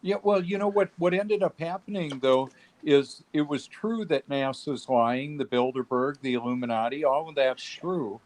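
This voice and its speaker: Man, earnest, rambling storyteller, mid-pitched voice, video call. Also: normal speed, darkish tone, rough recording. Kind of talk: casual video call chat.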